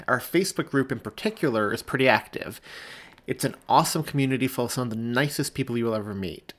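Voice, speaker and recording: A man's voice: medium pitch, a bit bright. A man speaking as a podcast host, chatting to listeners and plugging the show. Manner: chatty, promotional. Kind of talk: podcast outro addressed to listeners.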